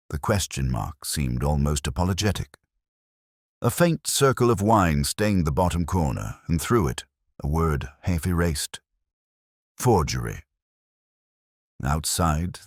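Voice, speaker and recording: Very deep, brooding male narrator. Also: no echo, clean studio sound. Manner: measured, literary, brooding. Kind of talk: scripted first-person detective story narration.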